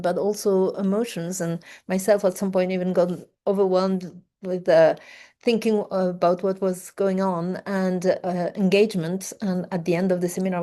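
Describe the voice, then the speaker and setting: A woman opening an academic seminar as host, speaking English with frequent hesitant pauses. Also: mid-range voice, warm tone. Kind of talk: seminar introduction. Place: seminar room, hybrid session.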